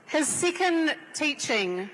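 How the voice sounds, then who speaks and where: A middle-aged woman with a New Zealand accent speaks earnestly and slowly, her voice pitched a little high. She talks into a podium mic in a large conference hall with a long reverberant tail. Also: very animated, a bit bright, a little hiss or hum. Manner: measured, earnest, with Maori greetings. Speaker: panelist. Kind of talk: conference panel speech.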